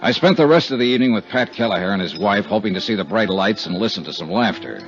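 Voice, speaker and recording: Hard-boiled, world-weary male investigator played by an actor, lively inflection, wry and blunt, fifties radio drama with muffled sound. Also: on the low side, quick pace, lightly acted. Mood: weary narration. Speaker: lead actor. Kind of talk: 1950s radio drama acting. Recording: dull 1950s radio recording, music behind.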